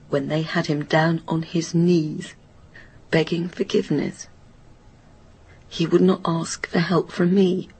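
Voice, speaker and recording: A woman playing a guilt-ridden, stubborn grown daughter in a radio drama, speaking in a halting, anguished, bitter confession that often drops to a murmur. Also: on the low side, dark tone, breathy edge, heavily acted. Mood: bitter, resentful.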